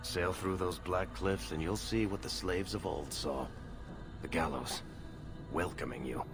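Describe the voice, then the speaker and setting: A man playing a wry storyteller in a fantasy video game, narrating in a measured, dramatic way. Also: low-pitched, over music. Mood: grave, ominous. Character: male storyteller under interrogation, wry, smooth raconteur.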